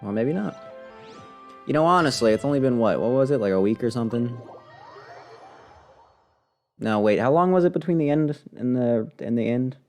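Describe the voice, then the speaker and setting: A man's voice: mid-range voice, animated, moderate pace, pauses often, a little mellow. Blunt, sarcastic male game streamer. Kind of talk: casual live reaction commentary. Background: game music and dialogue.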